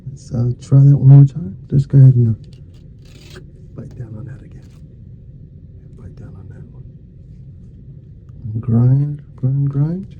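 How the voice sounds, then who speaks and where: A soft-spoken man playing a dentist in an ASMR roleplay, murmuring casual, reassuring and unhurried patter to a patient. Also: mid-range voice. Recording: dull muffled lo-fi sound with faint noise.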